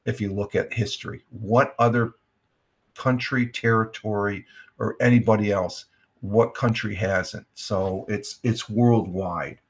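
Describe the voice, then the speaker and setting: A man's voice: fairly low pitch, steady tone, unhurried, breathy edge. An older American man hosting a casual livestream chat, rambling with many fillers and restarts. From United States. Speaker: livestream host.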